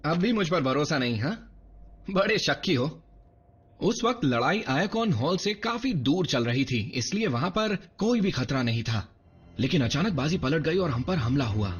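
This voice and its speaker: A man voicing a cocky, eager young robot soldier, brash and earnest, in an animated series dub. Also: fairly high pitch, normal speed, husky. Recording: dull lo-fi dub under loud music.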